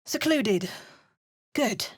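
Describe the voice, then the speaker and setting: A woman's voice: on the high side, expressive, extremely slow, slightly rough, full character voice. An actress voicing a female fantasy adventurer hero in game voice lines, with a put-on Cockney accent and a dry, muttering way of commenting. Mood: wry. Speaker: player character.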